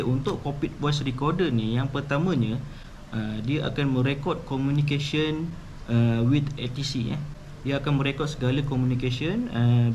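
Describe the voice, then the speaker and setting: A man's voice: mid-range voice, darkish tone, muffled recording. Malaysian man explaining casually, noisy recording. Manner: informal, conversational, sprinkles tag questions. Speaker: YouTube channel host. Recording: clearly noisy background ambience.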